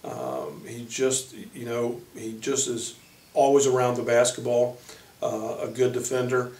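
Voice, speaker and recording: A man speaking as a college basketball coach, introducing players informally in a halting way with frequent pauses. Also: medium pitch, a little mellow. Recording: echoey room, distant microphone.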